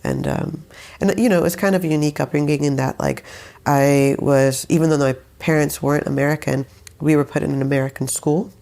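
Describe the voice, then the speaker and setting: A young woman with a low, gravelly voice tells her story in an easy, unscripted way. She wanders through her thoughts, circling back with "like" and "I don't know" as she goes. Her tone stays relaxed and conversational. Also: a little fast. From Saudi Arabia. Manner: rambling, hesitant, many fillers and restarts. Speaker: guest, musician. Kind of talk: casual interview conversation.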